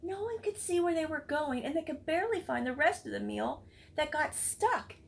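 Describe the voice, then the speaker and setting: Creaky-voiced woman reading a storybook aloud. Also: high voice, moderate pace. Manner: expressive, with chatty asides to listeners. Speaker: read-aloud book club reader. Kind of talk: children's storybook read-aloud.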